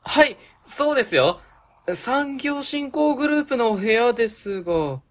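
Man acting as young office worker, very high male voice, lively pitch swings, polite, eager, radio drama. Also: unhurried, heavily acted. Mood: polite, helpful. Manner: courteous, helpful.

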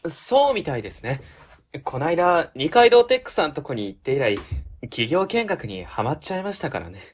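A man's voice: fairly high pitch, very animated, a little fast, breathy edge. A man playing an easygoing young junior civil servant, casual but polite, in a radio drama.

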